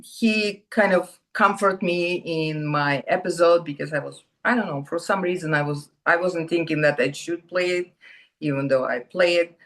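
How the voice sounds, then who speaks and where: Russian-accented woman, slightly low voice. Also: a bit bright. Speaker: actress, interview guest. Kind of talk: casual conversational interview.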